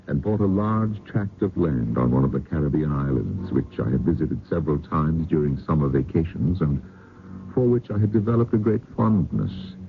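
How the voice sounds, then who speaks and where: A man playing a genial, bookish retired professor in his sixties in an old radio drama, speaking in a measured, courtly way. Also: very deep voice, creaky, exaggerated voice. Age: 60s. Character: genial retired philosophy professor of sixty-five, settled on an island. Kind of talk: old-time radio drama, first-person narration and dialogue. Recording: narrow-band old radio broadcast, faint noise.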